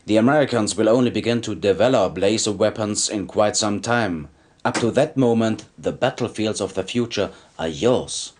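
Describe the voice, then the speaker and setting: A man playing a shady, businesslike trader in secrets, briefing a commander in a measured way in a game cutscene. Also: lowish voice, a little mellow, lightly acted. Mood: businesslike, calculating.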